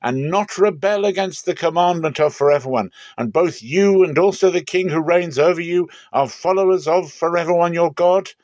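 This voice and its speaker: A man's voice: a little high, moderate pace, hardly any pauses, a little mellow, no room sound, pitched above the performer's own voice, natural voice. Stern prophet, played by a male reader, declamatory and impassioned, exhorting a people, scripture drama, dry sound.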